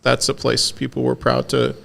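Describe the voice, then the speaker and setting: A man from Texas with a gravelly, creaky voice at a mid pitch. He chats easily and often asks questions as he talks. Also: completely flat delivery, fairly bright tone. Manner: chatty, questioning, drawn-out storytelling. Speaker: podcast co-host. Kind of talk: casual conversational discussion.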